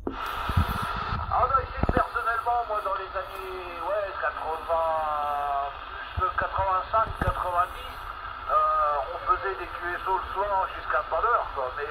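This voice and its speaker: Noisy CB radio reception, middle-aged man, high male pitch, casual, hesitant, repetitive phrasing. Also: moderate pace. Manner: hesitant, repeats words. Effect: CB radio transmission. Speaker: returning CB radio operator.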